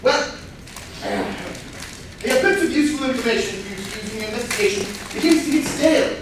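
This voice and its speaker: A woman playing a formal, deliberate butler who presides over a murder game in a staged comedy reading. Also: a little high, lively intonation, natural voice. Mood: formal, announcing. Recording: very echoey room, distant mic, faint noise.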